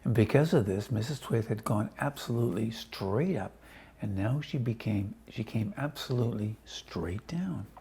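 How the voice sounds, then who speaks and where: A man reading a children's storybook aloud casually, with chatty asides to his listeners and a slightly husky voice. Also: on the low side, lively intonation, normal speed, warm tone. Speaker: storybook reader.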